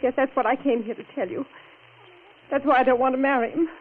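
A woman's voice: sits high, fairly even intonation, fast, phone-quality sound. An actress playing an earnest, hesitant woman in love, speaking confidingly in a 1940s radio melodrama. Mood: tender. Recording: thin, noisy old radio recording.

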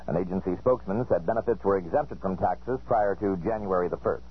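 A man's voice: deep voice, a little fast, slightly rough. An American man reading a scripted local radio newscast. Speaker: local radio news reader. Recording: dull lo-fi 1970s radio broadcast.

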